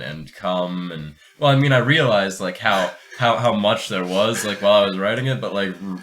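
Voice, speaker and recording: A man's voice: low voice, a bit bright. A man co-hosting a podcast with casual, jokey banter, rambling and often tripping back over his words. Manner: rambling, jokey, stammering repetitions. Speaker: podcast co-host.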